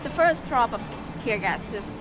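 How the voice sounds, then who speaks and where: A woman from Hong Kong being interviewed outdoors, recalling events in a halting way. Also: fairly high pitch, animated, loud music behind the voice, a loud crowd. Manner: hesitant, halting recollection. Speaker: interviewee, protest witness.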